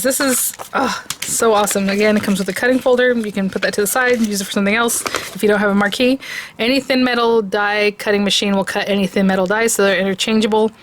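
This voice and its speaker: A hoarse woman hosting a crafting video, chatting excitedly as she reviews and tries out new dies. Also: medium pitch, background noise. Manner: chatty and excitable, gushing over products. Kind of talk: casual product review and crafting demo.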